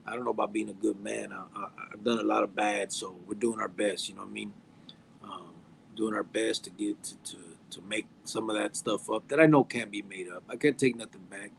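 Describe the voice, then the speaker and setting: A man, chatting casually on a podcast, halting with fillers and restarts and mixing in Spanish slang. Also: lowish voice, steady tone, gravelly, slight background noise, low-quality recording. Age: 40s. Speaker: podcast guest.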